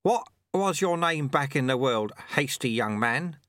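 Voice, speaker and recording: Man voicing a cheerful, high-pitched little old monk. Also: animated, a little slow, no room sound, clean studio sound. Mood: wry, teasing. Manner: breezy, wry, gently teasing. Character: cheerful, amiable little old monk sweeper, wryly modest.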